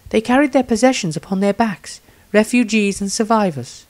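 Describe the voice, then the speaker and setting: A male reader plays a gentle woman diarist in a fantasy tale. The voice is lifted well up in pitch, with a measured, reflective and observant manner. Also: natural voice. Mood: thoughtful.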